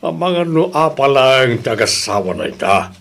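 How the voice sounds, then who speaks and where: A man's voice: mid-range voice, big pitch swings, normal speed, heavy background noise. A man with an Ilocano Filipino accent playing a grumpy, unhelpful village captain in an audio drama. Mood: dismissive.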